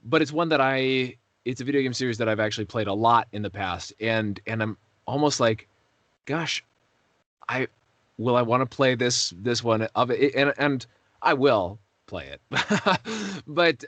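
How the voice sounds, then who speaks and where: Male interviewee, voice actor, mid-pitched, rambling and self-deprecating, casual delivery, very dry recording. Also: fairly bright tone, no room sound. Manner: rambling, self-deprecating, hesitant while searching for words.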